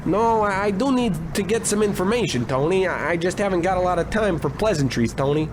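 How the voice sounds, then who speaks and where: A man playing a bumbling, self-important private detective in a comic 1940s-style radio noir, blustering and deadpan. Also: high voice, brisk, background noise. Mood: impatient, blustering.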